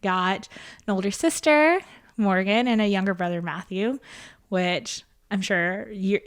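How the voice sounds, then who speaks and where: A woman's voice: mid-range voice, unhurried, clean tone. A woman in her twenties, a podcast guest raised in small-town Tennessee, chatting casually, self-mocking and laughing often. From small-town Tennessee, born in Indonesia. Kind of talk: casual interview chat.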